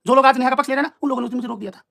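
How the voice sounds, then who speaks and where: Guy on a live stream talking super fast, arguing and clarifying stuff, repeats himself a lot. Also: extremely high pitch, vocal fry, fairly bright tone. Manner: argumentative, emphatic, repetitive.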